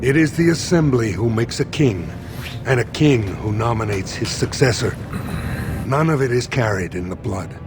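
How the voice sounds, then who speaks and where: A man's voice: mid-range voice, animated, moderate pace, over loud music, close to an everyday voice. A man voicing a stern dwarven noble in a fantasy video game, speaking with formal indignation. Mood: firm, indignant.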